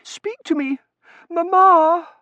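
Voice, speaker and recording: A man acts out an anxious young daughter in a storybook reading. The voice is very high and swooping, breathless and fussy, full of girlish exclamation. It sits close and dry. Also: big pitch swings, normal speed, a bit bright, no echo, exaggerated voice. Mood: frantic pleading.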